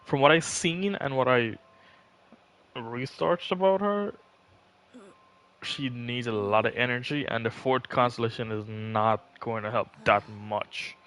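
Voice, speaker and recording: A man's voice: medium pitch, a little slow, a bit bright, clean tone. A man giving casual, rambling livestream commentary, thinking aloud as he plays a game. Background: faint game music.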